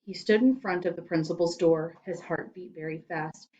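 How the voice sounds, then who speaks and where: Expressive woman reading a children's story. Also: on the low side, normal speed, highs cut off, a little hiss or hum. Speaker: story reader.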